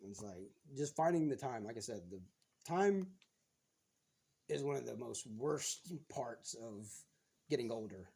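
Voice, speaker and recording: A man's voice: medium pitch, lively intonation, a little slow, creaky, dark tone, breathy edge. A man in his thirties, a guest on a podcast, talking frankly in casual chat and swearing freely as he retells things.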